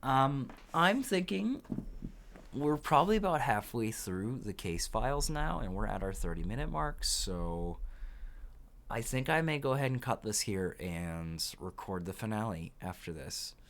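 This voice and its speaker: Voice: medium pitch, very animated, unhurried. A video game playthrough host talking casually while recording commentary. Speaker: gameplay video host reading game dialogue aloud. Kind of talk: casual let's play commentary with voiced dialogue. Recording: game music under the voice. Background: game music.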